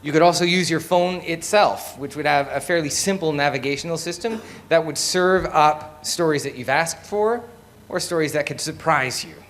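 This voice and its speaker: A man leading a live project pitch as main presenter, speaking in a conversational way. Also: normal speed, a bit bright, slight background noise. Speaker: lead presenter.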